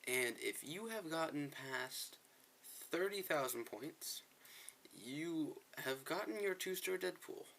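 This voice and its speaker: Man with bright, creaky voice commentating gameplay. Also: a little high, animated, slow pace, slight background noise. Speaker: gaming video host.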